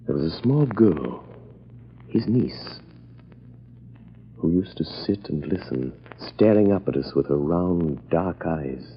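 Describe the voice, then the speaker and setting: An actor playing a calm, grave crime fighter with measured, dramatic delivery in an old-time radio drama. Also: male voice, sits low, breathy edge, lo-fi sound, heavily acted. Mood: grave, mysterious. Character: mysterious crime-fighting man, calm, grave, secretly invisible avenger. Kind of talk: 1930s radio acting.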